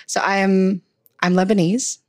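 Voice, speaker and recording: A woman's voice: medium pitch, little pitch movement, slow pace, a little mellow, no echo, clean studio sound. A young woman from Canada, a guest talking casually in an interview with plenty of "like" and "um". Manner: chatty, many fillers like "like" and "um". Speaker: guest, writer.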